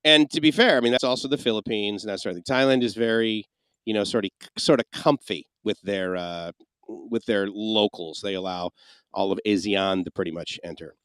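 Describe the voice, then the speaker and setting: A man's voice: fairly low pitch, brisk, fairly bright tone, clean tone, dry recording. A man co-hosting a livestream Q&A, chatting casually and rambling with asides and restarts. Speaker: livestream co-host. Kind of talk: casual Q&A chat.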